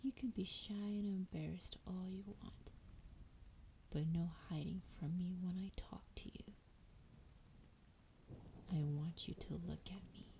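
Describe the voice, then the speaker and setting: A woman playing a lonely, ancient female dragon, tender and teasing, in a breathy, intimate whispered ASMR roleplay. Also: on the low side, steady tone, warm tone, low-quality recording. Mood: coaxing, firm. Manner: slow, coaxing, affectionate. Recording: clear background noise, ambience.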